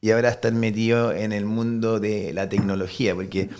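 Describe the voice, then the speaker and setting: A man's voice: lowish voice, level tone, brisk, a little mellow. A man hosting a podcast interview, chatty and enthusiastic, with frequent stammered restarts. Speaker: podcast host. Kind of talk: conversational interview.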